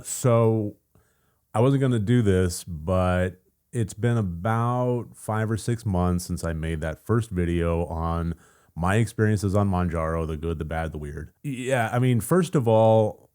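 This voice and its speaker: An American man with a gravelly, just-woken-up voice, chatting casually in a vlog update, rambling with fillers and soft laughs. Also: deep voice, a little slow. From United States. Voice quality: gravelly morning voice. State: just woken up, voice deeper than usual. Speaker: channel host.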